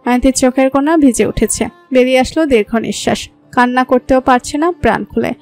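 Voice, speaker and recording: A woman narrating a romantic story in a smooth, even storytelling voice. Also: high-pitched, fairly quick. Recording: soft background music.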